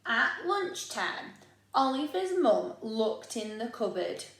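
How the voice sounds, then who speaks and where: Very slow British woman teacher. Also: fairly high pitch. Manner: deliberate, expressive, addressing children directly. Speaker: primary school teacher. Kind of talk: storybook read-aloud for young children. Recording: echoey room.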